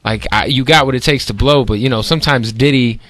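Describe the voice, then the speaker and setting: Halting male host, lots of fillers. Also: mid-range voice, a bit bright, dry recording. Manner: halting, heavy with fillers and "man". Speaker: interview host. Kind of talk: casual interview chat.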